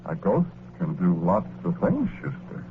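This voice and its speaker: A man's voice: deep voice, huge pitch swings, unhurried, full character voice. An actor with an American accent playing a well-meaning, exasperated working-class ghost who tells his story in slangy 1940s radio drama style. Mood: patient coaxing. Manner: colloquial, folksy slangy storytelling. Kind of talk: 1940s radio horror-comedy acting, first-person narration. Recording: scratchy, noisy, narrow-band old radio recording.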